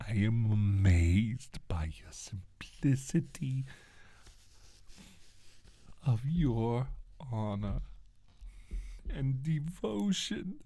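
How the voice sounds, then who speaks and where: A man voicing a scornful, menacing alien reptilian scientist in a soft-spoken, half-whispered ASMR roleplay, taunting and condescending, with a breathy, husky voice. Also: medium pitch, no echo, exaggerated voice. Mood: gloating scorn.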